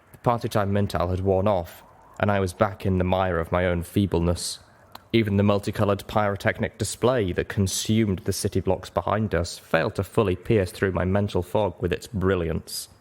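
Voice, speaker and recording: A man reading a story aloud as narrator in an expressive audiobook style. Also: low voice, moderate pace.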